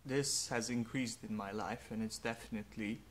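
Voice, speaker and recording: Young hungarian guy talking slow and thoughtful, lowish voice, bit of hiss behind. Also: steady tone. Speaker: vlogger. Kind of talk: reflective personal monologue.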